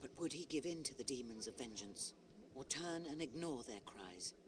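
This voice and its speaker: An actor playing a storybook narrator in a video game, telling a heroic fable in a measured, dramatic way. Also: male voice, medium pitch, expressive, noisy background, lightly acted. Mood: grave, dramatic.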